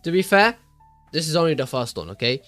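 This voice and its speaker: A man's voice: a little high, lively intonation, brisk, fairly bright tone, no echo, faint music behind. A young man hosting his own art channel, chatting in a rambling, self-deprecating way over his drawing, his voice worn and congested from a cold. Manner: rambling, self-deprecating, many restarts and fillers. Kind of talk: casual voice-over while drawing.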